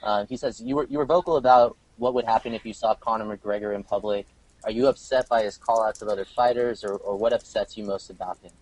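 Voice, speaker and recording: A man's voice: lowish voice, steady tone, fast talker, a little mellow, no room sound, rough recording. A man working as a reporter, politely putting questions to guests on a press conference call.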